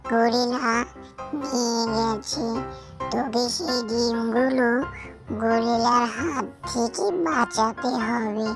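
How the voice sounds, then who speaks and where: Woman playing a demanding cartoon crow, fairly high pitch, slow measured pace, clear music underneath. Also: steady tone. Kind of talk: children's cartoon dialogue.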